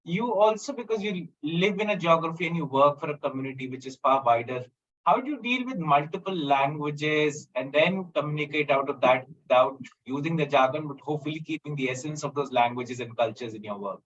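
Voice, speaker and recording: A man's voice: fairly high pitch, fairly quick, fairly bright tone, dull audio. A man moderating a conference panel, asking questions in a brief, polite way.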